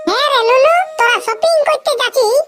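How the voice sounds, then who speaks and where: An actor voicing a blunt, teasing rustic mother in a comic cartoon, with a Purulia Bengali accent and an earthy, cajoling way of talking in a pitched-up voice. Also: fairly quick. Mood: pleading. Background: music.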